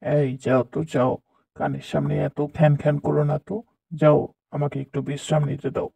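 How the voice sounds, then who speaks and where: A man's voice: on the high side, flat delivery, a little fast, vocal fry, mellow, husky, full character voice. A man playing a lazy, sleep-loving village husband in a cartoon, grumbling and dismissive. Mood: irritated, dismissive. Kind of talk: cartoon voice acting.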